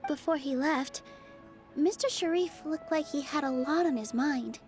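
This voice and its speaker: A woman voicing a gentle, earnest young theater dancer in a video game, speaking softly and sincerely. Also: high voice, unhurried. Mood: wistful, hopeful. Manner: soft, sincere, wistful storytelling. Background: game music.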